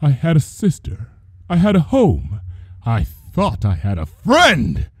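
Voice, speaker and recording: A man's voice: fairly high pitch, huge pitch swings, takes it slowly, breathy edge, dry recording, clean studio sound, pitched above the performer's own voice, exaggerated voice. A man voicing a wrathful, wounded female alicorn pony princess in a dramatic story reading, with a grand, accusing delivery. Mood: bitter grief. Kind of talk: dramatic fan fiction reading.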